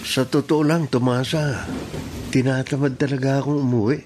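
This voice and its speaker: A man's voice: mid-range voice, fairly even intonation, moderate pace, background noise, natural voice. A man playing a selfish, philandering, gambling husband in a Filipino radio drama, with a Filipino accent. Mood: lazy, teasing.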